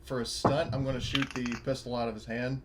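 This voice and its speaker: A man's voice: mid-range voice, flat delivery, fast talker, a bit bright, a little hiss or hum. A man playing a tabletop game, chatting casually and often cutting in to work out the rules.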